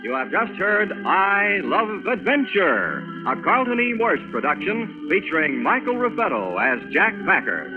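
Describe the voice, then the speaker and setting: A male announcer from 1940s radio reading scripted credits. His voice is high and swings widely in pitch. Music plays clearly behind him on a thin broadcast recording. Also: wildly swooping pitch, measured pace, hardly any pauses, phone-quality sound.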